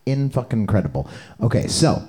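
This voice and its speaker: A man's voice: medium pitch, sing-song, brisk, mellow. A man co-hosting a podcast in casual chat, joking, teasing and swearing freely. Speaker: podcast co-host.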